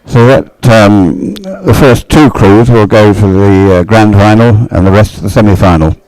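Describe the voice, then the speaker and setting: A low-pitched male sports commentator talks through live race action conversationally. He explains things as he goes, in short phrases that halt and restart while he thinks aloud. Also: unhurried, darkish tone, no echo, rough recording.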